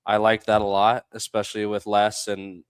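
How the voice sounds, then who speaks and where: Young male athlete guest, smooth and slightly deep, plain-spoken casual interview delivery. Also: completely flat delivery, normal speed, no echo, clean studio sound. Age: young adult. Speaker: college baseball catcher, interview guest.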